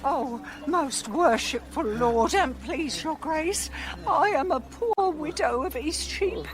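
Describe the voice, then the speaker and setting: An indignant poor widow in radio Shakespeare. The voice is high and swoops widely, with slow, drawn-out complaints that repeat and exclaim. She is long-suffering and scolding. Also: expressive. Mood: pleading. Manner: garrulous, repetitive, exclamatory.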